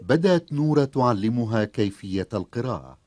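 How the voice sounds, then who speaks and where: A man's voice: mid-range voice, expressive, brisk, darkish tone. A man narrating a children's cartoon story in scripted storytelling style.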